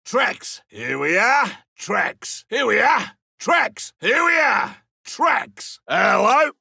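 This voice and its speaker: A man playing a boastful, grizzled veteran monster hunter in a video game, with an Australian accent and a rough, gravelly voice, making forceful short calls. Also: very high voice, big pitch swings, very slow, dry recording, clean studio sound, exaggerated voice. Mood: gruff, focused. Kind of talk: video game combat and tracking barks.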